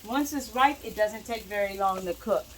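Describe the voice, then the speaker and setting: A woman's voice: mid-range voice, normal speed, a little hiss or hum, poor audio. A woman in her home kitchen, chatting casually and talking herself through each step as she cooks. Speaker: cooking vlogger. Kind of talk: casual narration while cooking. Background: frying and sizzling in a pan.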